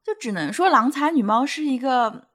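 A woman's voice: high-pitched, lively intonation, moderate pace, a bit bright. Chatty woman telling old stories. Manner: explains at length, stacks many agreeing "对对对". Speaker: podcast co-host. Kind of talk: casual chat about fairy tales.

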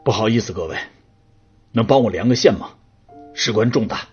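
A man's voice: on the low side, fairly quick, a little mellow, slightly rough, muffled recording, soft background music. An actor playing an earnest, furtive middle-aged male researcher in a Chinese science-fiction radio drama. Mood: grave.

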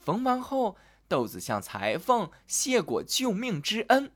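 Male children's narrator, animated sing-song fairy-tale delivery. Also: sits high, measured pace. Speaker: children's storyteller.

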